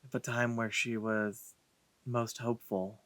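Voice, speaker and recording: A man's voice: mid-range voice, flat delivery, measured pace, a bit bright, no room sound. A man hosting a let's play, giving casual commentary on a game.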